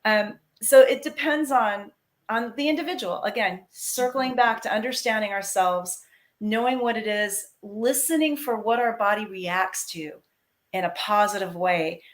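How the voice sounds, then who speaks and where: A woman's voice: medium pitch, a little slow. A woman mentor giving earnest, encouraging advice in a casual panel chat over a video call. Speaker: mentor expert.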